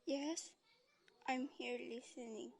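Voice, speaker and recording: A woman's voice: high-pitched, steady tone, takes it slowly, gravelly, husky, exaggerated voice. A teenage girl playing an obedient hired killer in an amateur radio drama. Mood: obedient, calm. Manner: deferential.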